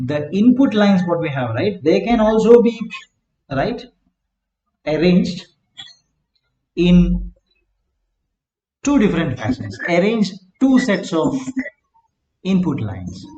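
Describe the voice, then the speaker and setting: Male lecturer, slow and halting, slightly high voice, roomy echo. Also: a little mellow, dull audio. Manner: slow, halting delivery in short word groups, frequent "right?" checks. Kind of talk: classroom lecture on circuit design. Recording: echoey room, faint background hum.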